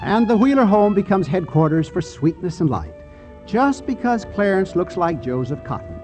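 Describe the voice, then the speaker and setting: A man narrates as host of a 1940s radio theater. His voice is high and very animated, with a wry theatrical delivery. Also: moderate pace, faint music behind. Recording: vintage 1940s radio broadcast.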